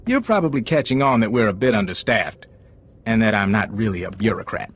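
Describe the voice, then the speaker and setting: An actor playing a frank intelligence agent giving an informal, wry briefing in a video game. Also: male voice, lowish voice, expressive, a little fast. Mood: earnest, wry.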